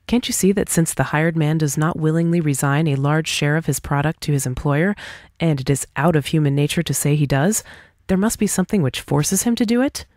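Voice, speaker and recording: A woman reading the part of a confident, pointed individualist debater in an audiobook dialogue, articulate and deliberate. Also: low-pitched, a little mellow, dry recording, clean studio sound, lightly acted. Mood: pointed, explanatory. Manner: articulate, deliberate, rhetorical questioning.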